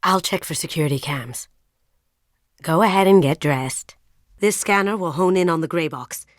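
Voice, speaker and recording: A playful, coolly confident female master thief from a sci-fi video game, played by a young actress. Her voice sits a little low for a woman and she talks with wry, sly ease. The recording is very dry, with no room around it. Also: normal speed, no room sound, lightly acted. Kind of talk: video game character voice acting.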